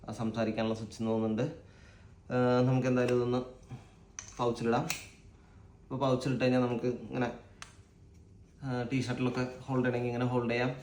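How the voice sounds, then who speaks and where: A man's voice: mid-range voice, darkish tone. A man giving casual, unscripted commentary as a vlogger unboxing a product, thinking aloud as he goes. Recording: echoey room, faint background noise.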